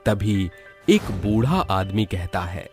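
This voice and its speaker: A man narrates a dramatic cartoon story in a low voice with lively, swinging intonation. The delivery is scripted storytelling, with music playing behind it. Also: slow pace. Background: background music.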